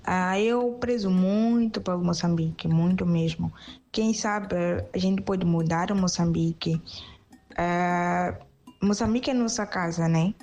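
A woman's voice: lowish voice, measured pace, darkish tone. A young woman from Mozambique with a Mozambican Portuguese accent, a university student answering interview questions in a thoughtful way, often adding little tag questions. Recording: faint music in background.

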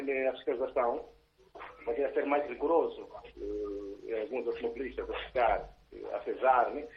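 A man with a Mozambican Portuguese accent, calling a radio debate and speaking in a halting way with many fillers. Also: medium pitch. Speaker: caller. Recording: dull phone-line audio.

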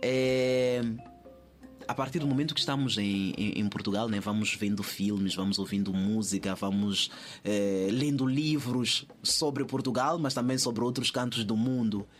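A man's voice: on the low side, a little slow, faint music behind. A man from Mozambique with a Mozambican accent, answering conversationally as an interview guest, often repeating phrases as he thinks aloud.

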